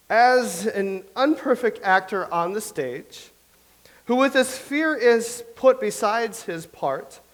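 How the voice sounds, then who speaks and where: Boastful, rambling young playwright, slightly high voice, as an actor plays him. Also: male voice, a bit bright, heavily acted. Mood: reciting. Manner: rambling, recites verse slowly. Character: chatty boastful young playwright from old England. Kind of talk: staged play reading.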